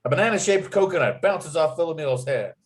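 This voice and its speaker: A man's voice: a little high, lively intonation, a little fast. Man narrating a comedy script. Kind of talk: scripted narration, reading screenplay action and scene headings.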